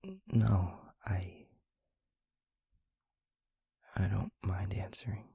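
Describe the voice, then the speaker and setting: A man playing a gentle, devoted vampire boyfriend in an intimate audio roleplay, speaking earnestly and haltingly in a breathy, husky near-whisper. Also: deep voice, fairly even intonation, no room sound, clean studio sound, exaggerated voice. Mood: hesitant, confessional. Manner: slow, halting, tender reassurance.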